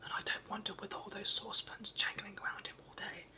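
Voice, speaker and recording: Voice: mid-range voice, monotone, a little fast, distant mic, close to an everyday voice. A reader whispering the part of a cheeky male brownie in a storybook. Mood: wry.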